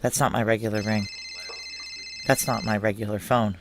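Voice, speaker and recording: A woman's voice: rumbling low, fairly even intonation, moderate pace. A woman playing a tough, controlled security chief in a noir science fiction audio drama, speaking in a blunt, clipped, authoritative way. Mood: wry, tense. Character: tough, curt female security chief, hard-drinking and controlled.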